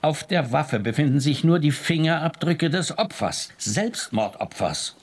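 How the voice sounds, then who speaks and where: An actor playing a measured, matter-of-fact chief police inspector in a radio crime drama. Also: male voice, fairly high pitch, big pitch swings, slightly rough, exaggerated voice. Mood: dry.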